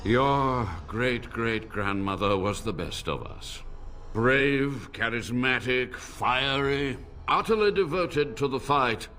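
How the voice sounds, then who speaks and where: A man's voice: medium pitch, expressive, sharp tone, faint music behind. An actor playing an ancient, decaying male blood mage who speaks in a grandiose, theatrical way. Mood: solemn. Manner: grandiose, theatrical, slow, with a mocking laugh. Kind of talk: game character dialogue.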